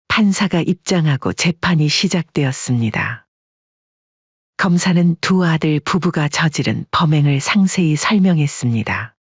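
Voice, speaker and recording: A narrator reading a story in an even, measured way as an audiobook. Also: medium pitch, husky, no room sound, highs cut off.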